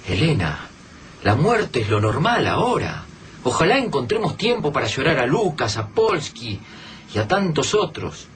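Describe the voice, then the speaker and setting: A man's voice: on the high side, huge pitch swings, a little slow, fairly bright tone, heavily acted. An actor with a Rioplatense Argentine accent playing a grim, pragmatic male survivor in a radio drama, firm and persuasive. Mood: urgent. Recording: noisy radio-drama recording.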